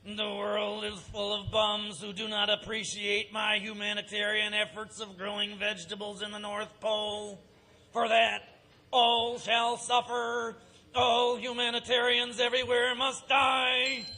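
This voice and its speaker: A giant robot villain drones slow, bombastic threats in a high, creaky voice. He is bitter and melodramatic, straight out of a comic sci-fi stage radio play. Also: monotone, bright, forceful tone, exaggerated voice, rough recording. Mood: menacing, enraged. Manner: slow, droning pronouncements, bombastic villain declarations. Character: giant male robot with human brain, murderous, melodramatic, bitter. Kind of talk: live comedic audio theatre parody of sci-fi B-movie. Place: stage, live performance.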